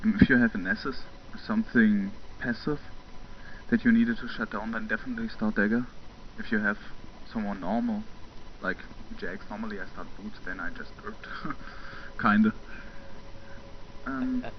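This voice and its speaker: A man's voice: lowish voice, fairly even intonation, moderate pace, slight background noise. A young German man giving casual, rambling commentary over gameplay footage, full of fillers and little chuckles. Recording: muffled home mic with game sounds.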